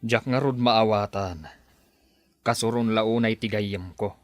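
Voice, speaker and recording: A man's voice: on the low side, steady tone, slow, no room sound, faint music behind. A man acting in a radio drama as a sensible, questioning boss and loyal friend, speaking expressively. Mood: concerned.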